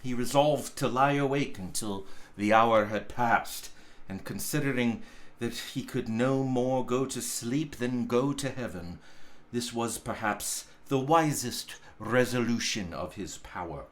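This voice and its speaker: A man's voice: mid-range voice, unhurried. A man reading a classic story aloud in a dramatic, emphatic manner, now and then stumbling over a word. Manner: theatrical, emphatic, occasionally stumbling over words.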